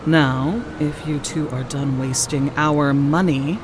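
A woman playing an imperious, cold aristocratic official who speaks in a measured, formal way in an audio drama. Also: low-pitched, steady tone, noisy background. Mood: commanding.

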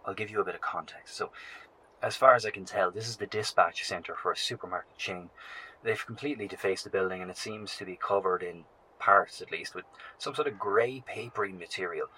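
Low-voiced, weary survivor professor. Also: male voice, a little fast, bright, forceful tone, slight background noise, rough recording. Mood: wary, hushed. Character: weary, anxious male professor surviving a nightmare apocalypse. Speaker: narrator of a survivors' broadcast. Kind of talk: horror audio drama, recorded field diary.

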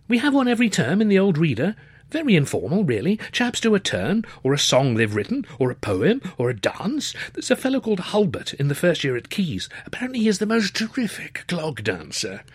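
A man voicing an excitable, nervy young Edwardian student, chummy and gushing, with an upper-class English accent. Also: high voice, a little fast, slightly rough, dry recording, clean studio sound, pitched above the performer's own voice, exaggerated voice. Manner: gushing, stammering, old-fashioned slang.

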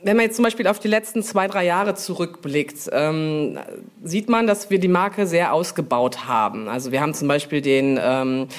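A woman answering interview questions informally, explaining things with frequent pauses to think. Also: sits low. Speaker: brand manager, interview guest.

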